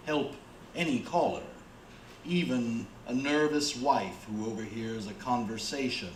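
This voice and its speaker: A man introduces a radio thriller as its announcer. His delivery is slow, deliberate and portentous, at a medium pitch. The space around him echoes, with faint noise. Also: darkish tone. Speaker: announcer, narrator. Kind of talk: scripted radio suspense introduction.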